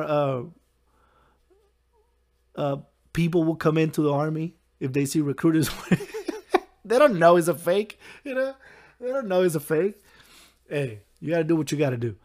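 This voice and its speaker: A young man with a hoarse, recovering voice, hosting a solo podcast in a rambling, halting way with frequent chuckles. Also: a little high, darkish tone. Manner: chuckles often. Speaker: podcast host. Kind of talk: casual solo podcast talk.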